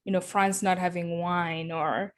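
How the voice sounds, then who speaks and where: A woman from Kenya answering interview questions over a video call, measured and thoughtful, with frequent ums. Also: fairly low pitch, little pitch movement. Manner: thoughtful, many ums and uhs. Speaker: guest, company founder.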